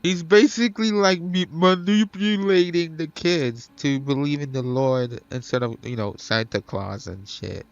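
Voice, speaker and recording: A man's voice: on the high side, measured pace, few pauses, clear, resonant tone, no echo, dull audio. A man doing casual let's play commentary, thinking aloud hesitantly and swearing now and then. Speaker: gaming streamer.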